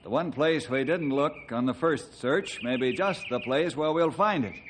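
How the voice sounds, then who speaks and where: A man plays a fair-minded American ranger hero in an old radio drama. He sounds earnest and in charge, with a middling pitch, on a slightly noisy vintage recording. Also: moderate pace, lightly acted. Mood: determined. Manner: earnest, authoritative.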